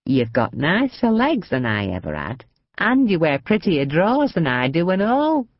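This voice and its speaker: A woman voicing a frail, chatty old northern lady with a high, quavering, mischievous voice in a storybook reading. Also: huge pitch swings, moderate pace, no room sound, dull audio, heavily acted. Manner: gossipy, cheeky, confiding.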